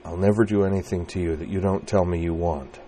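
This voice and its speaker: Male reader voicing an earnest devoted young knight, deep and soft-spoken. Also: steady tone, a little fast, slight background noise, natural voice. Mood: tender, earnest. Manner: soft, earnest, low-key delivery.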